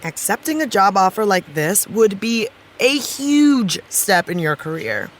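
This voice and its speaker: A woman's voice: medium pitch, sing-song, measured pace, slight background noise. A woman playing a wry, candid actress and supportive friend in an audio drama, with dry, teasing, naturalistic delivery. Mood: frank, sardonic.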